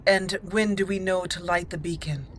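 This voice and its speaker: A woman hosting a roleplay let's play, answering in character and commenting with deliberate, theatrical phrasing. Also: on the low side, fairly even intonation, slight background noise. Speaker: gaming channel host.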